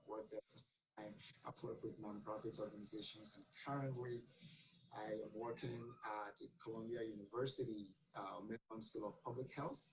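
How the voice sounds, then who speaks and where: Guy, lowish voice, earnest, lots of ums, bit of hiss behind. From Ghana. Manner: earnest, many fillers and restarts. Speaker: guest. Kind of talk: interview answers.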